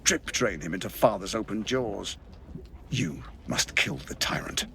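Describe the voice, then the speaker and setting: A deep, creaking, unnatural voice for a gloating fantasy assassin. It drags slowly, swooping through a wide range as it spits contempt. A faint hiss sits under the line. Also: sing-song, bright, forceful tone, heavily acted. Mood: venomous. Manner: sneering, venomous, gloating. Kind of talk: video game cutscene acting.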